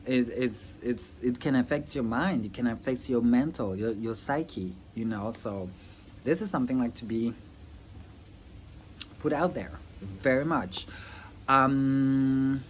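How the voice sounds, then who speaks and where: A man's voice: medium pitch, low-quality recording. Young guy from rwanda vlogging, earnest, lots of um and like, faint hiss behind. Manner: earnest, halting, many fillers and restarts. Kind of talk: personal reflective talk to camera.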